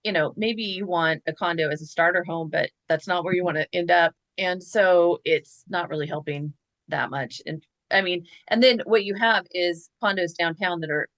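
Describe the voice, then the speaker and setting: A woman hosting a chat show, talking casually with quick asides and rhetorical questions. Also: lowish voice, a bit bright, dry recording. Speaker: podcast host.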